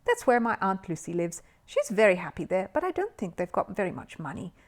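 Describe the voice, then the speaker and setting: A woman's voice: medium pitch, very animated, a little fast, lightly acted. A woman voicing a polite, earnest small male bear in a children's storybook reading. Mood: earnest, thoughtful.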